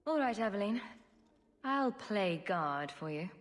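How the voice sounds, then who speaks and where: An actress playing a wry, self-assured young adventurer heroine in a video game. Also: female voice, mid-range voice, sing-song, unhurried. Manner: wry, questioning.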